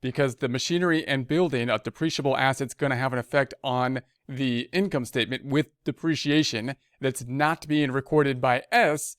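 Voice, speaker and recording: Clear-voiced man giving a tutorial. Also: medium pitch, normal speed, few pauses, dry recording, studio quality. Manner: conversational, repeats and rephrases as he explains. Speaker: accounting instructor. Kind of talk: screencast tutorial, thinking aloud through a worksheet.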